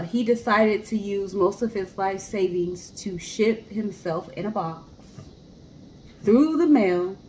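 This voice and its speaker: A woman co-hosting in an expressive, chatty way, telling stories casually. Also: on the low side, a little hiss or hum, rough recording. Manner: chatty, expressive, acts out imagined remarks. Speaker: co-host. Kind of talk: casual chat and informal storytelling.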